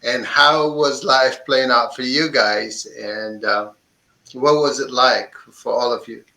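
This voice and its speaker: A man's voice: mid-range voice, slow, vocal fry, pushed and bright. A man hosting an interview, asking questions in loose, stumbling phrases. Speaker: interviewer host. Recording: roomy echo.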